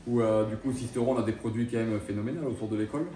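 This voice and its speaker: Fast-talking chef in an echoey room. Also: male voice, fairly low pitch, fairly even intonation, dark tone, a little hiss or hum. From France. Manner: hesitant, many fillers and restarts. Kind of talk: interview answers. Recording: roomy echo, mic at a distance.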